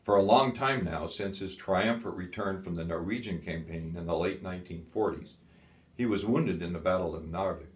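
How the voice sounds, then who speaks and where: Low-voiced male narrator in an echoey room. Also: normal speed. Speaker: audiobook narrator. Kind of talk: scripted dramatic narration of a historical novel. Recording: echoey, roomy sound.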